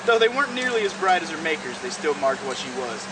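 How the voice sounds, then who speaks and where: A man's voice: a little high, fast. A man reading a comic story aloud with casual, amused banter, often cracking up as he goes. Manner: breaks into laughter while reading. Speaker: co-host reading a mad-libs story aloud. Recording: very noisy, distant mic, steady background hum.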